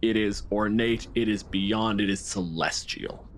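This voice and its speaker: A man narrating a tabletop game as game master, describing scenes in deliberate, vivid phrases. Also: on the low side, slight background noise. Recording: music behind the voice. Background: background music.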